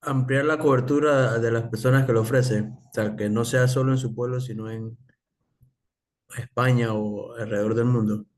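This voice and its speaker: A man's voice: mid-range voice, steady tone, moderate pace. A man speaking as a student, giving a spontaneous answer in class.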